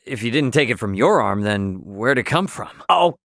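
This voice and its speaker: A man acting a brash but friendly young spacefaring adventurer in a video game, with a casual, expressive, slangy delivery. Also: medium pitch, moderate pace, vocal fry, dry recording, clean studio sound. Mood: curious, concerned.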